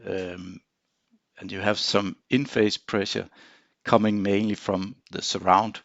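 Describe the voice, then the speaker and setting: A man giving an informal technical screen demonstration, explaining in a halting way with frequent pauses. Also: fairly low pitch, level tone, creaky, a bit bright, no room sound, muffled recording. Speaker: engineer presenting a software tutorial.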